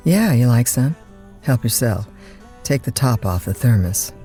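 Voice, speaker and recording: An actress playing a chatty, easygoing overnight radio disc jockey in an audio drama, with a folksy, informal manner. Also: female voice, extremely low pitch, animated, a little slow, warm tone, soft background music. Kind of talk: naturalistic audio drama acting.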